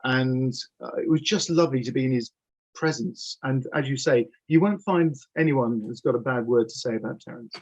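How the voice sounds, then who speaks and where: A dull, slightly lo-fi video call carries the voice of a man in his fifties. His pitch is average, and he chats as an interview guest in an enthusiastic, anecdotal way. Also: moderate pace, slightly rough. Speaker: audio drama producer, interview guest. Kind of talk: casual interview conversation. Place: home office with bookcases. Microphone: computer mic on video call.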